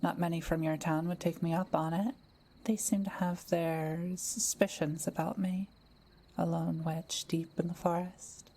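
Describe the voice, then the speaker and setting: A woman playing a kind, welcoming young witch who lives alone in the forest, speaking gently and playfully to the listener in a roleplay audio drama. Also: fairly low pitch, flat delivery, slightly rough. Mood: gentle, reassuring. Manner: gentle, unhurried, softly playful.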